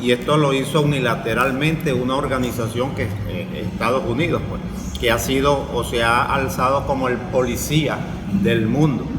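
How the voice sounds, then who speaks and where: A man giving a grave, somewhat halting speech on a panel. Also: medium pitch. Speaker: panel speaker. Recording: echoey hall with loud background noise.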